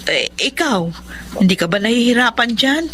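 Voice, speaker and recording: A woman's voice: fairly high pitch, lively intonation, moderate pace, a bit bright, a little hiss or hum. A woman acting a devoted, caring, hopeful wife and mother in a radio drama, tender and reassuring.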